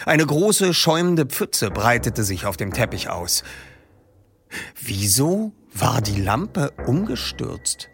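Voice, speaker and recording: Lively, expressive male book reader. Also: mid-range voice, slow, breathy edge. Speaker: narrator. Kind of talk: reading a book aloud.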